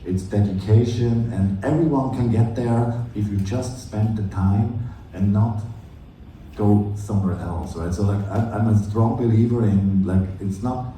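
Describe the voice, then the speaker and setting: Man, low dark voice, earnest halting panel talk, emphatic repetition. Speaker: conference panelist with copywriting background. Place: indoor conference room. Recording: echoey room, distant mic, faint noise.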